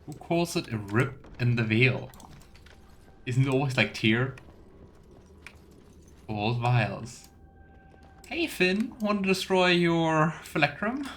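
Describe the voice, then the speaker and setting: A man's voice: fairly high pitch, big pitch swings, takes it slowly, pauses often, fairly bright tone. A man giving casual, musing let's play commentary as a game streamer, with playful asides to his viewers. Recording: faint noise with keyboard clicks.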